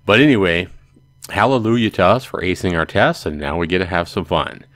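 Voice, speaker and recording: A man's voice: low voice, brisk, a bit bright, no room sound. A man hosting a let's play, casually commenting and reading a visual novel aloud. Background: music.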